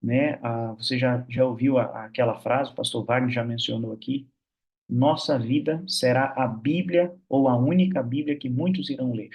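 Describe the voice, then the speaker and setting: Church meeting host, husky-voiced man, chatty, over a video call. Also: medium pitch, steady tone, normal speed, muffled recording. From Minas Gerais, Brazil. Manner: warm, chatty, many fillers like "né". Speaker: host of an online prayer meeting. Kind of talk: casual church meeting hosting.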